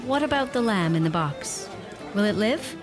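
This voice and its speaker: An actress playing a warm, curious young woman in a radio play. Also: medium pitch, expressive, normal speed, music in the background, crowd noise, close to an everyday voice. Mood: curious, tender. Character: warm, curious young American woman new to rural Ireland.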